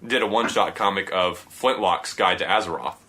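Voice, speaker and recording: Fast, bright-voiced male podcast host. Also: low voice. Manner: eager, chatty, quick to laugh. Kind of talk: casual podcast interview.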